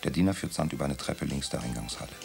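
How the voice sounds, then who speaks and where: Gravelly, very deep male narrator. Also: racing. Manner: calm, descriptive. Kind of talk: scripted radio drama narration. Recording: music playing behind.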